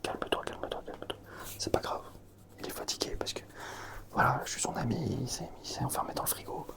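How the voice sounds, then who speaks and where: A young man whispering softly through a comic roleplay, slangy and teasing. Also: quick pace.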